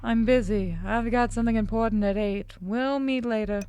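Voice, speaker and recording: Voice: mid-range voice, steady tone, moderate pace, a little mellow, a little hiss or hum, voice pushed up, close to an everyday voice. Someone voicing an impatient, curt tough guy. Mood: irritated. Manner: curt, profane, commanding. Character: gruff, impatient, threatening male drug-crew tough named Trent.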